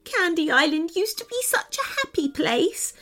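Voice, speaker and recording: A woman's voice: very high-pitched, very animated, moderate pace, a bit bright, pitched above the performer's own voice, heavily acted. A woman voicing a small, frightened runaway elf girl in a storybook, plaintive and tearful. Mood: sad, worried.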